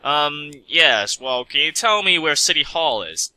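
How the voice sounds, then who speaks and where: A man's voice: on the high side, animated, a little slow, pushed and bright, smooth, no room sound, heavily acted. A man playing a polite, slightly awkward stranded mechanic in a story reading, with a hesitant manner. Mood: polite, unsure.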